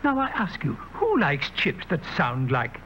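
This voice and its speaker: A man's voice: a little high, huge pitch swings, measured pace, fairly bright tone. A man narrating a commercial like a little storybook tale, on a muffled, noisy old recording.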